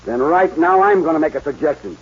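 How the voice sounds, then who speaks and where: An actor playing a ruthless, domineering land baron and gang boss in an old-time radio western, folksy and threatening. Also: male voice, on the high side, lively intonation, fast talker. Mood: angry, threatening. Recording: muffled, noisy vintage radio recording.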